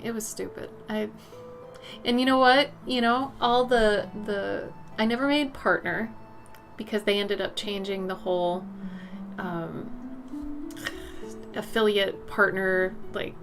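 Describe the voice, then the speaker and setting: A woman's voice: mid-range voice, fairly bright tone. A woman in her thirties livestreaming while she paints, chatting loosely and frankly with her viewers as she answers their messages. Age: 30s. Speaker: streamer.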